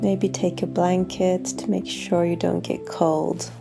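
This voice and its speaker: A woman guiding a yoga nidra meditation in a calm, soothing, unhurried manner with long pauses. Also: fairly low pitch, gravelly, music in the background. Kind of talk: guided meditation.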